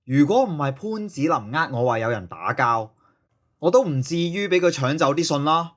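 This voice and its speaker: Actor as strict schoolteacher, fairly high voice. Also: male voice, very animated, moderate pace, fairly bright tone. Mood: stern, justifying. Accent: Hong Kong Cantonese. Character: strict, self-righteous male secondary school teacher. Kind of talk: audio drama acting.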